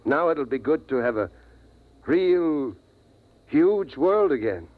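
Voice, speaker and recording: An actor voicing a quiet, thoughtful father in a 1950s radio drama, subdued and measured. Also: a little high, big pitch swings. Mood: reflective. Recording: tinny old radio transcription.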